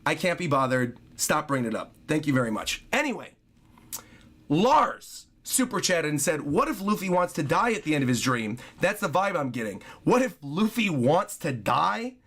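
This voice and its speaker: A man chats casually on a livestream, his voice hoarse and scratchy from overuse. He sits fairly high for a male voice, thanks viewers one after another and keeps apologizing. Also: big pitch swings, normal speed, a bit bright. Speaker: streamer reading viewer donations.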